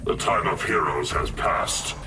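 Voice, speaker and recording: A man voicing imperious, menacing inhuman villains in a video game, grandiose and gravelly. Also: very deep voice, a little slow, noisy background. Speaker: game voice actor. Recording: loud music behind.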